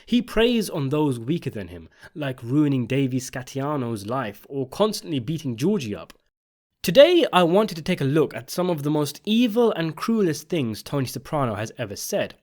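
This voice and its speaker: A man's voice: fairly high pitch, very animated, moderate pace, a little mellow. Man narrating with wide, swinging intonation. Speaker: video essayist and channel host. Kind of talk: scripted video essay commentary on a TV series.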